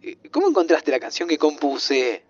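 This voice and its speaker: A man's voice: a little high, wildly swooping pitch, moderate pace, a little mellow, husky, no echo, muffled recording, faint music behind, exaggerated voice. An actor playing a flustered, tender man in an audio drama, with an Argentine accent and a hesitant, stammering delivery. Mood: playful. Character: flustered, tender man visiting his own future. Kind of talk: naturalistic audio fiction acting.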